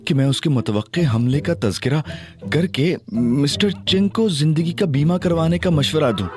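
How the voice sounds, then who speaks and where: A man's voice: fairly high pitch, moderate pace, music in the background. A man narrating an audio drama in first person and playing a cheeky, mock-innocent young hero.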